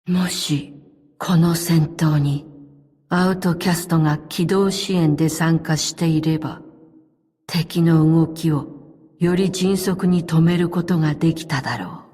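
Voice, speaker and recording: A woman voicing a calm, stoic, loyal elite operative in a game, speaking in formal, measured, terse lines. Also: low voice, slightly rough, big-room sound. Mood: composed, formal. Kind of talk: scripted game character voice lines.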